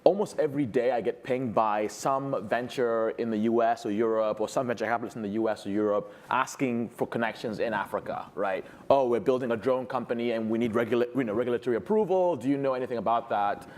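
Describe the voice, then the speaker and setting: Measured man investor on a panel. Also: medium pitch, darkish tone. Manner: measured, with frequent fillers and restarts. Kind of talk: panel talk.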